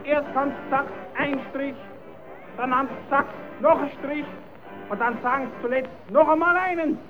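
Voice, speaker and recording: An actor playing a naive, cheeky, quarrelsome puppet-show rascal with a southern German accent in an old radio play. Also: male voice, extremely high pitch, steady tone, normal speed, over music. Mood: stubborn, combative. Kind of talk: old radio play, comic dialect acting. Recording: tinny, narrow-band old radio recording.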